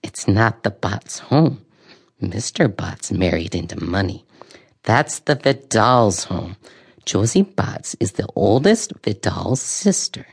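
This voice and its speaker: An actress playing a sharp, gossipy old woman in an audio drama, with a gravelly voice and a knowing, dryly opinionated way of talking. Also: rumbling low, big pitch swings, slow pace, exaggerated voice. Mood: knowing, tart. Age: older.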